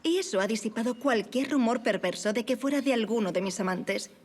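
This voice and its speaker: High-voiced, playful young marquise. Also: female voice, expressive, a little fast. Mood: breezy. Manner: poised, playful, teasing. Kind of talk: audio drama acting.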